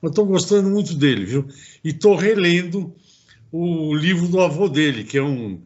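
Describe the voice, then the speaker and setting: A man's voice: on the high side, lively intonation, moderate pace, a little mellow, highs cut off. A man hosting an interview show, chatting in a rambling way with many restarts. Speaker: host. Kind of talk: casual interview talk.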